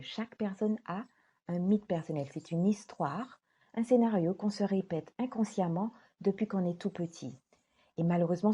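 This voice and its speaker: A woman life coach speaking straight to her viewers in a gentle, earnest way, stressing her words slowly. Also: lowish voice, slightly rough. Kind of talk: direct-to-camera talk.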